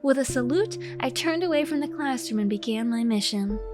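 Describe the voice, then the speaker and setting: A woman's voice: sits high, lively intonation, a little fast. A woman narrating a scripted comic diary in a theatrical, mock-serious and earnest way. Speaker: storyteller, content creator. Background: music.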